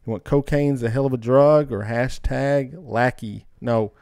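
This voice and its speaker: A male podcast host with a clear, smooth voice talks casually and loosely through his announcements. He rambles in an informal, buddy-like way, and the sound is dry and close. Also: mid-range voice, a little slow, a little mellow, dry recording. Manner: informal, rambling, says man a lot. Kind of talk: casual chat, show announcements.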